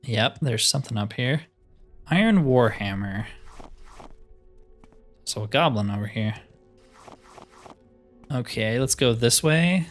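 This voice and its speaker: A man's voice: medium pitch, lively intonation, takes it slowly, long pauses, faint music behind. A man giving casual, wry commentary as he plays through a video game, often with dry humor. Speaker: gaming video host.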